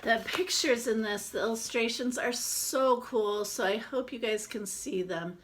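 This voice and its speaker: A woman reading a picture book aloud to children, expressive, with casual chatty asides to explain it. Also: a little high, unhurried, a little hiss or hum, rough recording. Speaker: children's read-aloud presenter.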